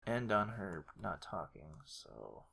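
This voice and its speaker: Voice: deep voice, very animated, slow, fairly bright tone. Someone casually walking through video editing on screen, half muttering to themselves while working at a computer. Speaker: video creator giving a tutorial. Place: indoors at a computer. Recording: clearly noisy, keyboard and mouse clicks.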